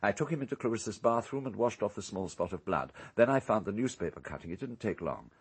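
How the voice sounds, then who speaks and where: An actor playing an urbane, calculating English island owner in a radio drama, speaking in a measured, precise, coolly unrepentant way. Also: male voice, fairly low pitch, lively intonation, slightly rough. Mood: cool, matter-of-fact.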